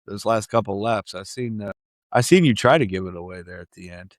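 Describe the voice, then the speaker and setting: A man's voice: fairly low pitch, a little fast, no echo, studio quality. A man co-hosting a casual sports podcast, bantering in a joking, folksy, teasing way. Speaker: podcast co-host.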